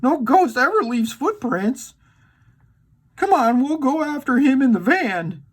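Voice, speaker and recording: A man's voice: very high-pitched, lively intonation, measured pace, pitched above the performer's own voice, heavily acted. A man voicing a bold, take-charge young leader of a cartoon mystery gang in a storybook. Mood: urgent.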